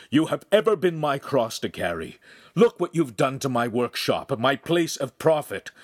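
A man voicing an enraged middle-aged master craftsman who shouts scornfully at his apprentice. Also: mid-range voice, no echo, clean studio sound, pitched above the performer's own voice, lightly acted.

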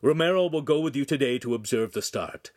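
A man voicing a kindly but stern older master craftsman in an audiobook, measured, questioning and paternal. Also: medium pitch, animated, no echo, clean studio sound, close to an everyday voice. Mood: businesslike.

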